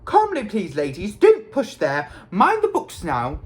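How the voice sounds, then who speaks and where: A narrator as a flustered, high-pitched wizard doorman. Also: huge pitch swings, unhurried. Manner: pleading, flustered, emphatic. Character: harassed male wizard shop doorman.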